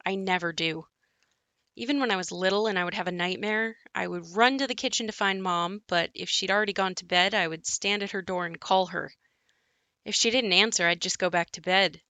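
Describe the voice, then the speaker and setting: Uneasy grown daughter haunted by her childhood home, played by a woman; bright, forward voice, dry close sound, audio drama. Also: medium pitch, brisk, no room sound. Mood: uneasy, reflective. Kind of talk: audio play monologue and dialogue.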